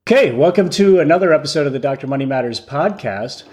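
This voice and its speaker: A man hosting a podcast, introducing topics and interviewing guests in a somewhat halting way. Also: a little high, expressive, darkish tone, breathy edge. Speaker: podcast host, physician.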